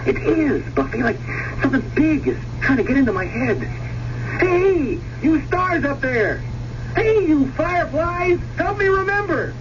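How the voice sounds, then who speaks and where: A man's voice: very high-pitched, sing-song, a little slow, noisy background, full character voice. An actor playing an intense seventeen-year-old boy in a radio drama, boastful and insistent, stammering when worked up. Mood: agitated, straining. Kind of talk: radio drama acting, with first-person narration. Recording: dull old radio broadcast, music behind.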